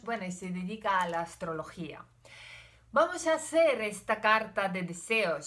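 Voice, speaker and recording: A woman's voice: medium pitch, a bit bright. An older woman from Ukraine, a native Russian speaker with a Russian accent, talking casually and hesitantly to camera from a room at home. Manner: chatty, hesitant, gestures with her hands. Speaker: vlogger.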